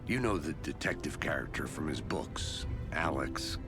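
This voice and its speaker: An actor playing a world-weary veteran detective in a video game, speaking in a terse, measured, grave way. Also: male voice, rumbling low, lively intonation, loud music behind the voice. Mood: grave, confessional.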